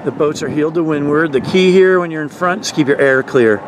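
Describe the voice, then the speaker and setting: A man's voice: on the high side, brisk. A man coaching sailors from a motorboat, explaining technique and calling out commands. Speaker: sailing coach. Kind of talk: live on-the-water coaching commentary. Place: outdoors on a motorboat on a lake. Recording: wind noise on camera mic.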